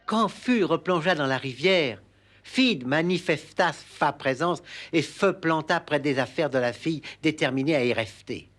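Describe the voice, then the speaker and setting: A man's voice: a little high, expressive, normal speed, a bit bright. A man playing a pompous, overdramatic poetry reader with a lisp-like impediment, in a comic recital. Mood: dramatic storytelling. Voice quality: muddled sibilants, lisp-like impediment.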